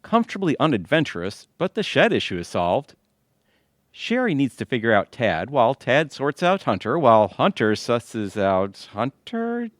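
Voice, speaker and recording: A man's voice: mid-range voice, huge pitch swings, moderate pace, no room sound, clean studio sound. A man playing a wisecracking, put-upon husband in a comedic audio drama. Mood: dry, wisecracking.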